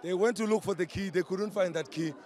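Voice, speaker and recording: A hoarse footballer giving a proud post-match interview pitchside outdoors, mixing English and Zulu. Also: male voice, brisk, darkish tone, some chatter behind. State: hoarse, lost voice. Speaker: footballer, defender.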